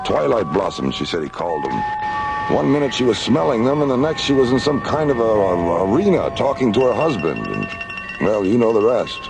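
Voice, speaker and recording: An actor playing a matter-of-fact commissioner in a science fiction radio drama, explaining in a measured way. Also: male voice, on the low side, very animated, gravelly, over music, low-quality recording. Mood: serious, explanatory. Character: matter-of-fact male space agency commissioner.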